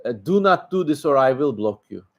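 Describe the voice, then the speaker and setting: A man's voice: medium pitch, lively intonation, moderate pace. A man with a Turkish accent hosting a casual livestream, answering viewers in an opinionated, emphatic, rambling way. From Turkey. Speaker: livestream host.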